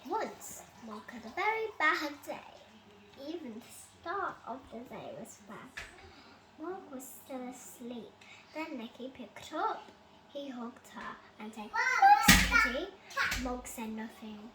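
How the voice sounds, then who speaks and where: Slow, high-pitched child reading a story. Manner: expressive, dramatic reading. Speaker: storybook reader. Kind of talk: children's bedtime story read aloud. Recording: roomy echo, loud enough background noise.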